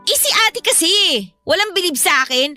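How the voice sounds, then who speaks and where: High, bright, aggrieved younger sister acted by a woman. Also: sing-song, fairly quick, faint music behind, heavily acted. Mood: hurt, resentful. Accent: Filipino. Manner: aggrieved, sulky, defensive. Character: hurt, defiant younger sister and daughter. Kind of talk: Filipino audio drama acting.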